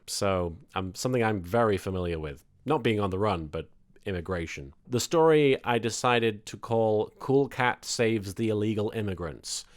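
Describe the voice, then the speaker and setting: A man reading a comic story aloud as presenter, with mock-grandiose dramatic narration. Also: medium pitch. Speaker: presenter and reader of comic fan fiction.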